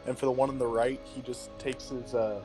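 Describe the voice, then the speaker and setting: A man's voice: mid-range voice, level tone, fairly quick. A man playing a tabletop game, casually describing what his character does. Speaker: player. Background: guitar music.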